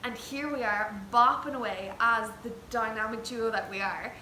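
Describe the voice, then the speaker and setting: A woman's voice: on the high side, moderate pace, bright, forceful tone. A young Irish woman, a traditional singer, chatting earnestly with lots of fillers in an interview. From Ireland. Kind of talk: casual chat. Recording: echoey room with background noise.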